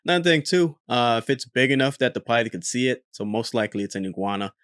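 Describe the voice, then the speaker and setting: A man's voice: medium pitch, quick pace, clean tone, no echo, clean studio sound. A man, a former military air traffic controller, hosting casual commentary on a recorded radio exchange, talking easily with many fillers. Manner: relaxed, frequent filler "uh".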